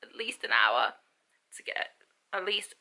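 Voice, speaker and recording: Give me a chatty young woman with a bright, gravelly voice. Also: on the low side, sing-song, measured pace. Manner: rambling, lots of ums and repeats. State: stuffy, sore throat, lethargic. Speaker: book vlogger. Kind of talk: casual vlog talk to camera.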